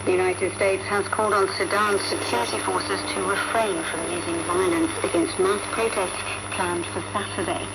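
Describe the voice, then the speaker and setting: Creaky-voiced woman newsreader through heavy shortwave noise. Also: medium pitch. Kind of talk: formal scripted news bulletin. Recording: noisy shortwave radio broadcast.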